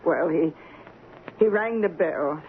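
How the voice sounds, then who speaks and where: A woman's voice: deep voice, slow pace. An actress playing a nervous, evasive landlady who stammers and protests, in a radio drama. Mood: scared. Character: frightened, flustered landlady, evasive witness to a murder. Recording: tinny, noisy old radio broadcast.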